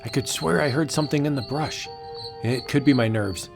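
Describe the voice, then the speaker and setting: Man playing a melodramatic, gravelly jungle explorer. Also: mid-range voice, normal speed, music in the background, natural voice. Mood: uneasy. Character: male explorer anthropologist, eager, excitable and determined. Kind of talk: comic book audiobook acting.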